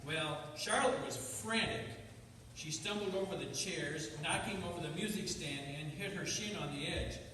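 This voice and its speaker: Friendly small-town pastor played by a man, folksy and chatty, higher voice, radio play, heard from afar. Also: moderate pace, sharp tone. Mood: explaining. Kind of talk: comedic stage radio play acting. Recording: noisy, distant, reverberant hall.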